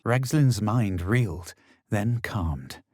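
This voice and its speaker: A man acting as a self-assured, superior fantasy adventurer in a video game, with measured, dramatic delivery. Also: fairly low pitch, expressive, darkish tone, dry recording, studio quality. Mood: solemn narration. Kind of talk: scripted video game voice lines, first-person narration.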